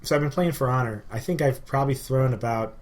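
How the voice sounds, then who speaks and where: A man co-hosting a podcast, chatting casually about games and telling stories in an animated way. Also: mid-range voice, fast talker. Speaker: podcast co-host. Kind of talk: casual gaming chat. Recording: clear background noise.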